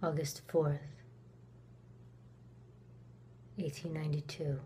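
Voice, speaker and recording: A woman performing a dramatic monologue as a cold, reclusive, resentful woman accused of murder in the 1890s, with deliberate pauses. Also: fairly low pitch, a little hiss or hum, natural voice. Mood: cold, somber. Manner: slow, deliberate delivery with long pauses.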